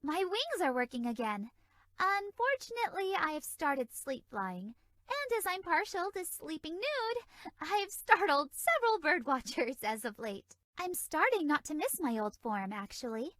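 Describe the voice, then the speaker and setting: A sweet, naive fairy for a video game. Her voice is extremely high and airy, with animated, bouncy melody. She sounds innocently flirty, in a very dry recording. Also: moderate pace, no echo, full character voice. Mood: sheepish, confiding. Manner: bubbly, innocently suggestive, airy. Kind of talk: video game character dialogue.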